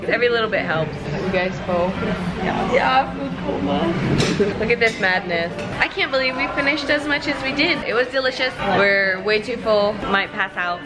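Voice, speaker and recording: A young woman vlogging casually to a handheld camera, bubbly and excitable. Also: on the high side, normal speed, over loud music. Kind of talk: casual vlog chat. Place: restaurant indoors. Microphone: handheld camera at arm's length.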